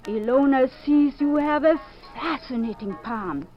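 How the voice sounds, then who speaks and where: Theatrical radio-mystery fortune-teller, highish voice, drawn-out coaxing, dated muffled sound. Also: female voice. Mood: mysterious, dramatic. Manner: florid, drawn-out salesmanship, refers to herself by name. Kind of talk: 1950s radio drama acting. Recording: muffled vintage 1950s radio recording with music.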